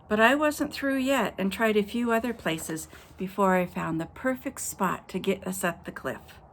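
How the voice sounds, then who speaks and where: A woman's voice: mid-range voice, gravelly, a little hiss or hum. A woman pastor reading an adventure story aloud to children, voicing the quoted characters and stopping to talk to her young listeners. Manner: expressive storyteller, voices quoted characters, pauses to address kids.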